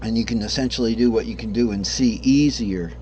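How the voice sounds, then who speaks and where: A man teaching a casual guitar lesson, hoarse because he is losing his voice, who rambles, corrects himself and often clears his throat. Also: fairly low pitch, a little fast, warm tone, a little hiss or hum, rough recording. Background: guitar playing.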